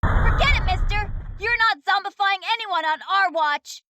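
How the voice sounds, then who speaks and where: Plucky teen-style hero girl from a video game, really high voice, sassy. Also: moderate pace, no echo. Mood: feisty. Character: plucky, feisty young heroine ranger. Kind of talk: video game action cartoon acting. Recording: voice under loud music.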